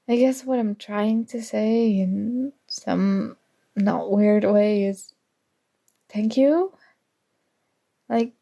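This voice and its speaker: A woman's voice: mid-range voice, gravelly. A young woman acting in an ASMR audio roleplay as a prickly but grudgingly fond student, teasing and defensive. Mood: reluctantly sincere. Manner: teasing, defensive, flustered, soft-spoken tsundere.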